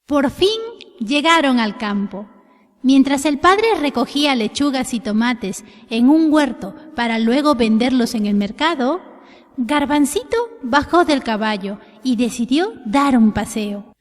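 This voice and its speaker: A woman narrating a scripted children's tale in an expressive storytelling style. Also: high voice, measured pace. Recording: long hall reverb.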